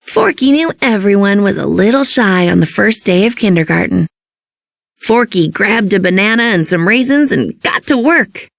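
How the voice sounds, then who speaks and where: A woman reading a children's storybook as an expressive narrator. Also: medium pitch, normal speed, gravelly, no echo, clean studio sound.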